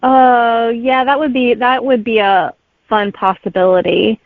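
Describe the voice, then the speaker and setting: A woman author answers interview questions in a relaxed way with plenty of "um"s. Her voice comes through a thin, phone-like line with a dry sound. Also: fairly high pitch, a little slow, dry recording. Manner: easygoing, with filler "um"s. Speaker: author guest. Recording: tinny phone-like remote line.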